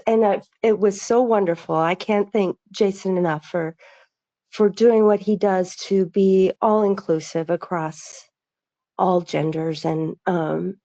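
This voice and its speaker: A woman's voice: medium pitch, dark tone. A middle-aged woman, an aerospace engineer, speaking earnestly on a video call with many restarts and fillers. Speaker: aerospace engineer, webinar panelist. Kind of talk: reflective webinar remarks. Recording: slightly dull lo-fi call audio.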